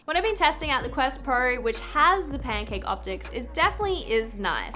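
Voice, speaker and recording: Bright, stuffy-nosed Australian woman. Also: sits high. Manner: upbeat, chatty, opinionated. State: stuffy nose from a cold. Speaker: VR tech YouTuber. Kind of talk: scripted tech review to camera.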